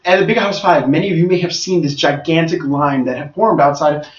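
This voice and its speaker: A man's voice: a little high, fairly quick. A man co-hosting a casual podcast as a tournament organizer, giving announcements and explanations in an upbeat way with frequent fillers. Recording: roomy, dull, distant mic.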